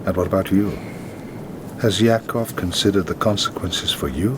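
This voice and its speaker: A man's voice: low-pitched, normal speed, noisy background, natural voice. An actor playing a wry, self-mocking, worldly man in a film drama who asks his questions in a dry, ironic way. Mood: probing.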